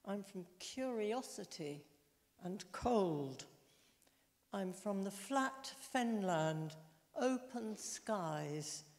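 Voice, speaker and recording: A woman in her sixties reading her own poem on a concert stage, lingering on each image, into a handheld mic. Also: on the low side. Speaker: poetry reader. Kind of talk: deliberate poetry reading. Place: wood-panelled concert hall stage. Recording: echoey concert hall.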